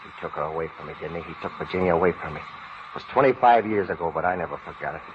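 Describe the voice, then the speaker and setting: Guy acting a folksy small-town man, low voice, earnest n halting, muffled 1930s radio drama. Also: a little hiss or hum, close to an everyday voice. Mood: bitter. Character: earnest, rough-spoken small-town man hopeful yet nursing old hurt. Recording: muffled vintage 1930s radio recording, music behind.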